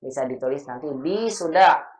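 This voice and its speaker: Male teacher, patient explainer, drawn-out vocabulary drills, roomy echo. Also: fairly high pitch, expressive. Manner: patient, spells out words slowly. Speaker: Arabic teacher. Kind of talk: recorded Arabic grammar lesson.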